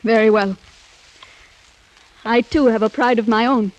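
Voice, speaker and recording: A woman's voice: fairly high pitch, fairly even intonation, a little slow, lo-fi sound, natural voice. An actress playing an anguished but proud young French noblewoman, pleading formally and melodramatically in an old-time radio drama. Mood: proud, earnest. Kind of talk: 1940s-50s American radio drama acting.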